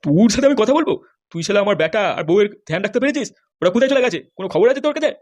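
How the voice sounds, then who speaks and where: A man's voice: high voice, lively intonation, racing, a little mellow. A man voicing a furious, foul-mouthed politician husband in a comedy cartoon, scolding and accusing. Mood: outraged.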